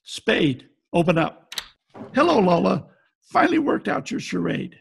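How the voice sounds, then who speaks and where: A wry, hardboiled gumshoe, played by a man in a radio detective play. The voice is rough with heavy fry, pitched high and swinging widely, with blunt, clipped lines recorded at home over a video call. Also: big pitch swings, unhurried, slight background noise, exaggerated voice. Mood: probing. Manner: clipped, blunt, wisecracking noir delivery. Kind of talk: old-time radio detective acting and narration.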